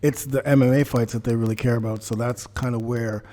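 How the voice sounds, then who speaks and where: A man's voice: mid-range voice, steady tone, fairly quick, mellow. A man hosting a casual chat, speaking emphatically, repeating phrases and swearing freely. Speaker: podcast host.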